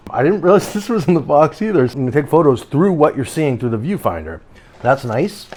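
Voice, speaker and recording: A middle-aged man casually reviewing a product at home and on his deck, rambling, often breaking off mid-sentence and getting excited about what he finds. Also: fairly high pitch, fairly quick. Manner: rambling, self-interrupting, easily excited. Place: home, later outdoors on a deck.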